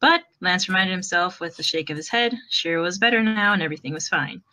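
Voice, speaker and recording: A woman's voice: on the low side, expressive, fast talker, sharp tone. A woman narrating fan fiction as a storyteller, in a conversational, wry way.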